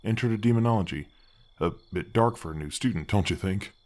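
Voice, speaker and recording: A man voicing a friendly, halting, ancient gargoyle guardian in an ASMR fantasy roleplay, with a deep voice effect. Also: darkish tone. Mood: awkward. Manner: halting, friendly, reassuring, chatty. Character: gentle, helpful male gargoyle, ancient temple guardian.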